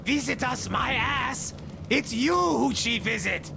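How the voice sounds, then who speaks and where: An actor playing a servile yet cheeky little sidekick in a video game, with a high, creaky voice. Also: male voice, measured pace, background noise, exaggerated voice. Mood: mocking. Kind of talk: video game character acting.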